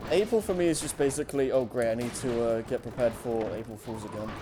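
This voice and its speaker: A man's voice: medium pitch, fast, noisy background. A man taking part in a casual group chat as a panelist. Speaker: panelist on a fan fiction reading show. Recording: clear music behind the voice. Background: music.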